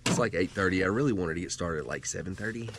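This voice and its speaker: A man's voice: sits low, fast talker, very noisy. A man in his thirties talking casually to his camera on a cold outdoor hike, rambling loosely with lots of "like" and blunt, profane asides. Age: 30s. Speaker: hiking vlogger. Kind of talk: casual vlog talk to camera. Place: outdoors on a cold winter lake trail and in a parked truck. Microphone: handheld action camera.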